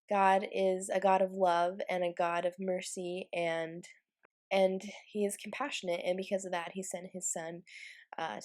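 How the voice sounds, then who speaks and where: A woman's voice: lowish voice, darkish tone. A young woman with a stuffy, congested voice from a cold, talking casually through a Bible journaling craft video. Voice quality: stuffy nasal. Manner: chatty, with fillers and light laughs. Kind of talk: casual craft tutorial voice-over.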